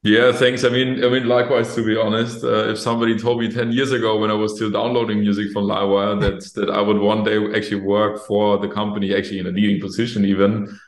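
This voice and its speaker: A man's voice: on the low side, flat delivery, quick pace, hardly any pauses. A man from Germany with a German accent, in his late twenties or early thirties, answering interview questions in an easygoing way and often repeating words while he thinks, over a video call. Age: late 20s to early 30s. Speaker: guest, company executive. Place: office room with plain wall. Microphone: video call, webcam. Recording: roomy echo on a video call.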